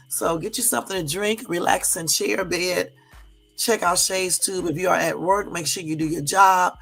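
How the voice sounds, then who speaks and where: A woman hosting a casual livestream, hoarse from a cold, chatty and often checking in with her listeners. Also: lowish voice, fairly bright tone. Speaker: livestream host.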